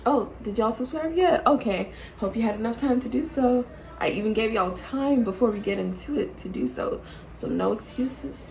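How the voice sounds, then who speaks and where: A woman's voice: fairly high pitch, normal speed, vocal fry, darkish tone, soft background music, rough recording. A woman in her late twenties chatting casually to camera as a vlogger, playful and teasing, laughing often and calling her viewers y'all. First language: English. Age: 20s. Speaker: YouTube vlogger.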